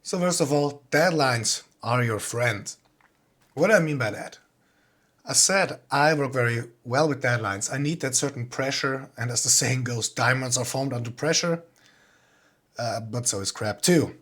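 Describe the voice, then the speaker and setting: A man's voice: mid-range voice, measured pace, fairly bright tone. Plain-spoken man with a Swiss accent. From Switzerland. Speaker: composer giving a talk. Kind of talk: informal online presentation.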